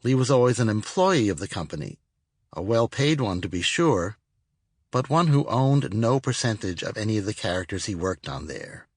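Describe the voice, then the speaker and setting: A man's voice: lowish voice, very animated, normal speed, breathy edge, no room sound. Man narrating an audiobook, very dry sound. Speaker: author reading own audiobook. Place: studio.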